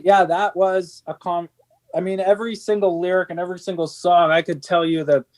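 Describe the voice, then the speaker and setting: A man's voice: on the high side, a little mellow. A middle-aged man, a band's singer, talking earnestly in an interview, rambling with many restarts and fillers. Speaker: band singer. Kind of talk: candid interview conversation.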